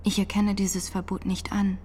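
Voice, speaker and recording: A woman's voice: mid-range voice, flat delivery, normal speed, darkish tone, slight background noise, close to an everyday voice. An actress in a radio drama playing a devout, defiant nineteen-year-old peasant woman on trial, steadfast and plain-spoken in manner. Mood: quietly defiant.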